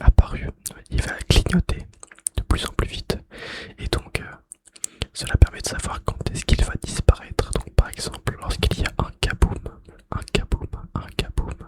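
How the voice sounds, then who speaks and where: A man speaks entirely in a breathy whisper, as an ASMR host would. He narrates softly, lingering on key phrases and repeating them, with small hesitations along the way. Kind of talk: whispered ASMR narration of video game facts.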